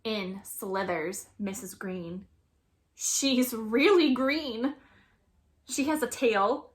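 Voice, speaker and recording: A woman reading a children's story aloud as a teacher would, with expressive, dramatic narration. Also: fairly high pitch, drawn-out words.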